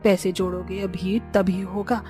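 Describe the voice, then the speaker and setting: A woman's voice: medium pitch, level tone, a little slow, warm tone, soft background music, close to an everyday voice. A woman voicing a worried, scolding mother-in-law of a poor village family in a cartoon, speaking plaintively and admonishingly. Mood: urging.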